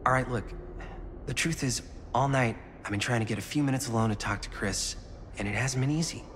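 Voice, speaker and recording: A man playing an earnest, awkward young amateur filmmaker in a video game, with an American accent and a casual, halting delivery. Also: mid-range voice, fairly bright tone, a little hiss or hum, natural voice. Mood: annoyed. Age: young adult. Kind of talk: naturalistic game cutscene acting.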